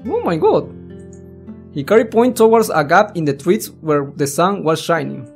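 Lively let's-player with music behind. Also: medium pitch, moderate pace, gravelly. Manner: giggly, interjects own reactions. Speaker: let's-play host. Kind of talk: reading game text aloud with casual commentary. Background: game music with plucked guitar.

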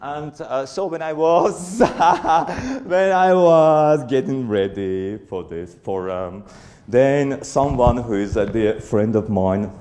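A man's voice: on the low side, darkish tone. A man in his forties speaking at a conference in a hall before an audience, hesitant, with many fillers and restarts. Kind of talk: conference talk.

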